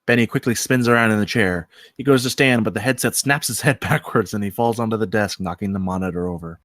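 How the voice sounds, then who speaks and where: A man's voice: fairly low pitch, fast talker, a bit bright, no echo. A man reading stage directions as scripted narration at a comedy screenplay table read.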